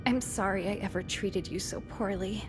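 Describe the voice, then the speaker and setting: An actress playing a remorseful teenage schoolgirl in a game cutscene, speaking earnestly and haltingly, with tenderness. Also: mid-range voice, natural voice. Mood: grateful. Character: remorseful teenage schoolgirl, softened former bully, sincere. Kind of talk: dramatic video game cutscene acting. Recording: loud music under the voice.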